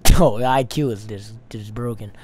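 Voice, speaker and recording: A man chatting excitedly over gameplay with teammates in a slangy, profane, hyped way, as a gaming YouTuber. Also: lowish voice, moderate pace. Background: music.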